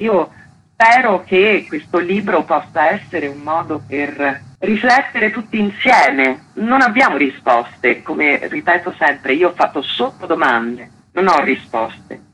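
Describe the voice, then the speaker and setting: Female interviewed journalist, reflective delivery, unhurried, with a bright, raspy voice. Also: lowish voice, big pitch swings, low-quality recording.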